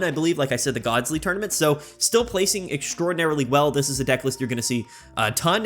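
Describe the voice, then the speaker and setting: Young male host, stuffy from a cold, brisk and upbeat, slightly high pitch. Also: a bit bright. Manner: rapid, enthusiastic, many fillers. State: sick, sniffly. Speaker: channel host. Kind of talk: energetic game tier-list commentary.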